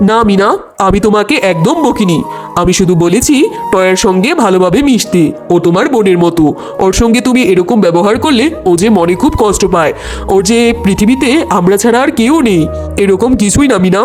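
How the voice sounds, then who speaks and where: Earnest cartoon dad, male performer: firm but caring, fair-minded, admonishing delivery over soft music. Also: high voice, brisk, natural voice. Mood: reasoning. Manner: earnest, scolding and reasoning. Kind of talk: cartoon dialogue.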